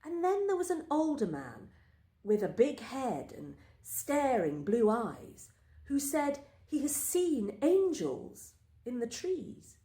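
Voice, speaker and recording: A woman reading a first-person literary story aloud in a measured, deliberate storytelling manner. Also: medium pitch, vocal fry, warm tone. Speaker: story reader. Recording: roomy, echoing space.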